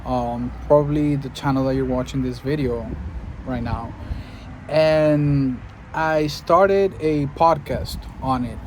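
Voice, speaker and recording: A man's voice: medium pitch, slow, a little mellow, a little hiss or hum, low-quality recording. A man, a Spanish speaker, musing thoughtfully in a casual monologue to camera and often searching for his words. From Venezuela. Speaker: podcaster and video creator.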